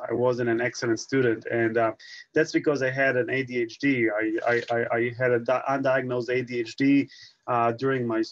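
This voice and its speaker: Man in his thirties from Israel, webinar presenter, halting delivery, muffled call audio. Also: mid-range voice. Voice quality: halting, many restarts and fillers. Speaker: neurosurgery fellow presenting. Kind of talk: webinar talk with slides. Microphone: video call. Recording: slightly dull video call audio.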